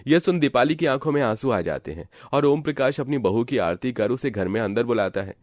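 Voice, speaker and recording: A man narrating a scripted animated family story. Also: medium pitch, brisk, dry recording.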